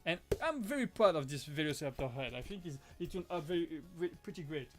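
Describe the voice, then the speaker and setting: A man with a French accent hosts a casual live stream, his voice sitting high for a man. He talks in halting bursts, restarting and correcting himself as he answers viewers. Faint music plays behind him. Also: expressive. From Switzerland. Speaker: live-stream host, prop maker.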